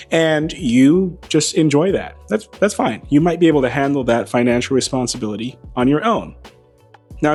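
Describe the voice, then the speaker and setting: Host, male, slightly hoarse voice, wry and playful delivery, mid pitch, small clip-on mic in a hangar, faint music under him. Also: darkish tone. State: losing his voice, slightly hoarse. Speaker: aviation channel host. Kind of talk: casual monologue to camera. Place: beside a plane in a hangar. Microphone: small wireless mic.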